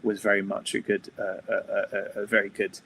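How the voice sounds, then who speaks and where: A middle-aged man with a low, gravelly voice that stays level in pitch. He introduces himself haltingly, stumbling over filler sounds and restarting his sentences, with a faint hiss of noise behind him. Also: monotone, fairly bright tone. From Switzerland. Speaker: venture capital investor. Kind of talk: panel self-introduction.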